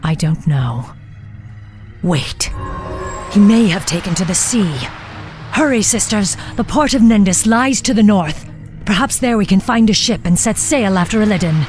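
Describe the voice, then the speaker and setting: A woman's voice: medium pitch, lively intonation, normal speed, over music, lightly acted. A woman voicing a stern, vengeful night elf warden who commands with grave resolve in a video game cinematic. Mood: urgent determination.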